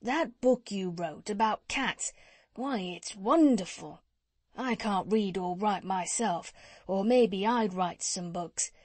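A woman's voice: mid-range voice, slow pace, a little mellow, dry recording. A woman voicing a folksy, unschooled male tradesman in a storybook, chatty and colloquial, with a scheming streak. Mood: admiring, eager. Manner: chatty, uneducated colloquial speech.

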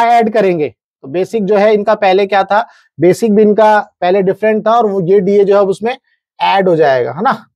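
A man's voice: high-pitched, warm tone. An Indian man in his thirties presents an explanatory lesson in a methodical way. He reads through figures step by step and checks in with viewers, and the sound is dry, with little room echo. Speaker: presenter explaining government wage notices. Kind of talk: explanatory lecture, reading out figures and tables. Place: indoor studio, standing at a large digital screen.

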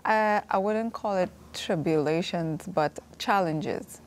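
A woman in her thirties from Ethiopia sharing a personal testimony in a studio Bible class, earnest and quick to laugh, on a lapel mic. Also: lowish voice. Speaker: class participant. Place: TV studio set.

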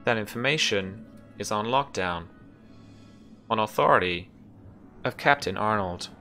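A man voicing an impersonal, unhelpful ship's computer in a tabletop game, with measured, formal, halting delivery. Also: lowish voice, expressive, bright, forceful tone, faint music behind, exaggerated voice. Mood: impersonal, withholding. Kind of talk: tabletop game role-play.

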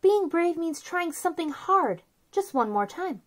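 A woman in her thirties speaking to young children as a story time host, with an expressive, simple delivery. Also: very high voice, a little slow. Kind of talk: children's read-aloud and chat to young viewers.

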